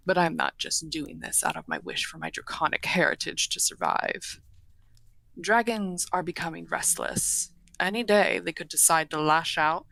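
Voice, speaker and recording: A woman playing a courteous, earnest half-dragon broker in a fantasy audio roleplay, speaking formally and with measured eloquence. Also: on the low side, gravelly, pushed and bright, exaggerated voice, rough recording. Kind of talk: scripted fantasy audio roleplay, addressing the listener.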